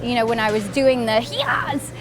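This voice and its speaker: A woman voice actress speaking casually in an interview, her voice sitting a little high. She sounds upbeat and chatty, and music plays clearly behind her. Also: very animated, moderate pace, rough recording. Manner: upbeat, chatty, laughs easily. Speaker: voice actress guest.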